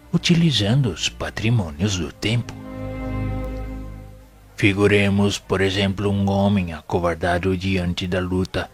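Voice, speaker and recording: A man with a Brazilian accent playing a venerable, wise and patient spirit mentor in an audio novel, speaking in a formal, didactic, measured way. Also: low-pitched, a bit bright. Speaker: audio drama actor. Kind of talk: solemn scripted spiritist teaching in an audio novel.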